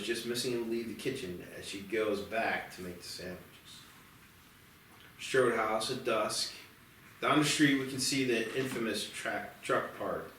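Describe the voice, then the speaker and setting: Echoey low-voiced man narrating a script. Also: sharp tone. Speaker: narrator reading screenplay stage directions. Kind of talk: scripted narration at a table read. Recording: echoey room, distant mic, faint noise.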